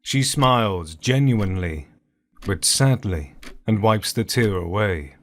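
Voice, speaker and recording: A man's voice: lowish voice, animated, slow pace. A man narrating a scripted audio drama as its storyteller.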